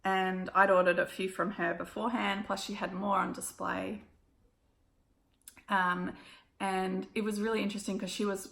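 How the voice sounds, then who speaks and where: An Australian woman with a croaky, hoarse voice, chatting casually through a stitching haul with lots of ums and little laughs. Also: fairly low pitch, fairly bright tone, reverberant. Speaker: cross-stitch vlogger. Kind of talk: casual show-and-tell recap.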